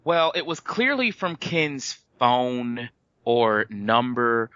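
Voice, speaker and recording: A man's voice: medium pitch, slow pace, bright, forceful tone, clean tone, no echo, dull audio. A man co-hosting a podcast, talking casually about a film in an emphatic, rambling, sardonic way. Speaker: podcast co-host. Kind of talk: casual film-discussion banter.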